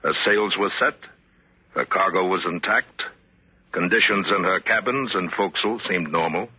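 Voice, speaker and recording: An actor playing a grave narrator of a sea mystery in an old radio drama, measured, solemn and deliberate. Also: male voice, low voice, sharp tone, breathy edge, no echo, full character voice. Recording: muffled vintage radio recording.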